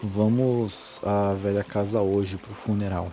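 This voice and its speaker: A man playing an earnest adult visitor in an audio drama, halting and subdued in a conversational way. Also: lowish voice, little pitch movement, dark tone, a little hiss or hum. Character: earnest, hesitant adult man, visiting long-lost half-brother.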